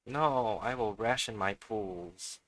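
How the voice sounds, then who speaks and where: A man's voice: lowish voice, slow, a bit bright, low-quality recording. A man live-streaming a video game with casual, unscripted commentary, muttering to himself and swearing freely.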